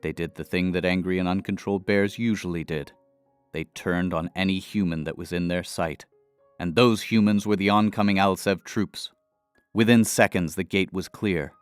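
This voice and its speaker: A male storyteller narrates a fantasy adventure in a deep, smooth voice. His delivery is measured and dramatic, recorded dry and close, with music playing underneath. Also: fairly even intonation, no echo. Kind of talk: scripted fantasy audio narration.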